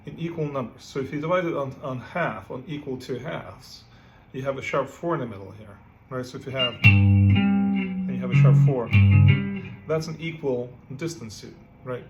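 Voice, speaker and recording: A man's voice: medium pitch, lively intonation, normal speed, highs cut off. A man from Ukraine, teaching a casual guitar lesson and often checking in with "right?" between filler words. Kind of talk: casual music lesson with playing. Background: guitar playing.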